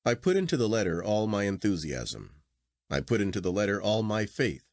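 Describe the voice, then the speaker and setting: Low-pitched man, animated literary narrator. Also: normal speed, dry recording, studio quality. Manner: expressive, dramatic reading. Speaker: audiobook narrator.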